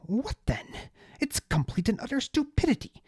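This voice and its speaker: A narrator voicing a pompous, petulant man who is easily outraged and talks in shrill, indignant bursts. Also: big pitch swings, normal speed, breathy edge, no room sound, studio quality.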